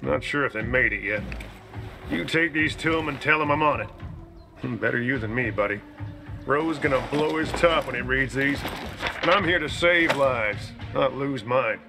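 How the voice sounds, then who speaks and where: A man's voice: medium pitch, normal speed, creaky, lightly acted. A man voicing a blunt, commanding army officer who brushes people off. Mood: gruff. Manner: curt, commanding. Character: gruff male officer, blunt, dismissive. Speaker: army lieutenant. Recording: cutscene with score music.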